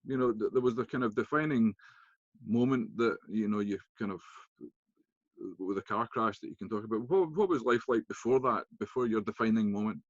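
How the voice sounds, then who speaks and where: A man with a thick Scottish accent hosting an interview, talking in a halting way with many fillers and restarts. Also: fairly low pitch, no room sound, dull audio. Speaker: interview host. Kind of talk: casual interview chat.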